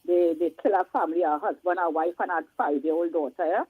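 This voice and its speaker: A woman's voice: fairly low pitch, fast, breathy edge, dry recording. A Trinidadian woman calling in to a radio show, talking in an emphatic, chatty way with rhetorical asides. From Trinidad and Tobago. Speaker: caller. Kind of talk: casual call-in chat. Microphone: phone. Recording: tinny phone line.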